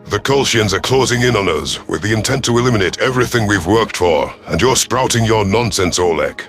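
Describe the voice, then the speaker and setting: A deep-voiced, gruff reptilian war commander acted by a man narrates a sci-fi audio story. He is proud, stern and sardonic, with faint music beneath the voice. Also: animated, fairly quick, a bit bright. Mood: irritated. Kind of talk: first-person scripted audio story narration.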